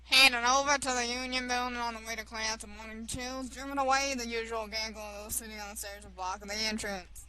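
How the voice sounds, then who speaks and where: High-voiced woman, slow halting read-aloud of game text, some whispered lines. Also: little pitch movement, a crowd in the background, low-quality recording. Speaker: co-player reading aloud. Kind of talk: reading a visual novel aloud.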